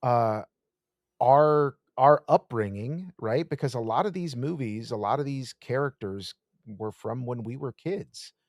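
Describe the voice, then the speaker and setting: A man's voice: medium pitch, a little slow, darkish tone, no room sound. Laid-back man in his thirties, dry close sound. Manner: laid-back with many fillers. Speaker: podcast co-host. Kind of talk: casual chat.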